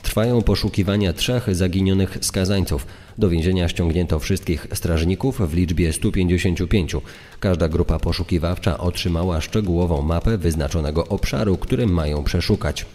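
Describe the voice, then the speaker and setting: Guy playing formal report announcer, really low dark voice, long reverb. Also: moderate pace. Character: male news announcer reading official crime reports. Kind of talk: formal scripted bulletin.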